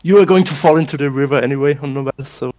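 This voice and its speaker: Dude in a speedrun race call, average pitch, keeps laughing and ribbing his buddies. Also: racing, darkish tone. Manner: laughs often, teasing, swearing. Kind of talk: casual voice chat during a race.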